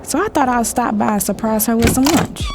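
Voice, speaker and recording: A woman's voice: on the high side, a little fast. A young American woman narrating an audio drama in the first person, sassy, gossipy and confiding, as if talking to a friend. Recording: music bed behind the voice. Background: clear background music.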